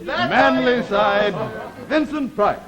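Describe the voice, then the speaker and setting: An American man hosts a live vintage game show in a jovial, ad-libbed way. His voice sits a bit high, with folksy, halting patter and a clearly audible studio crowd behind him. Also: animated. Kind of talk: 1950s live television hosting, ad-libbed. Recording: old TV studio with audience noise. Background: studio audience.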